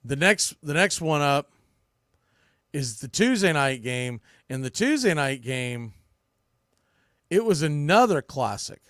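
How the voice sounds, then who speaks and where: High-pitched American man talking baseball, dry sound. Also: sing-song, slow pace, pauses often, fairly bright tone, dry recording. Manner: blunt, emphatic, mildly salty language. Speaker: sports podcast host and play-by-play broadcaster. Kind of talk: opinionated baseball commentary.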